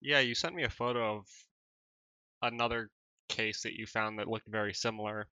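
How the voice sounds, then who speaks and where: A man's voice: lowish voice, fairly even intonation, moderate pace, bright, forceful tone, smooth, no echo, dull audio. A male podcast co-host asking curious, probing questions. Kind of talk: casual podcast interview.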